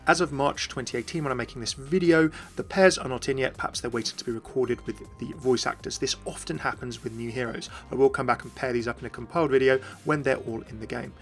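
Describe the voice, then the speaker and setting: A man's voice: mid-range voice, fast, hardly any pauses. A man presenting scripted fan commentary as a voice-over, explaining things and addressing viewers directly. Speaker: video presenter and game commentator. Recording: voice-over with faint music behind.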